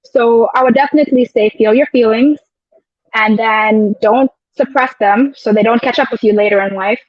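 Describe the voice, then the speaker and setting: Woman guest, high voice, dull dry sound. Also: fairly even intonation, moderate pace, dry recording. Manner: reflective, storytelling with quoted asides. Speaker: guest, air traffic control officer. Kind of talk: casual interview chat.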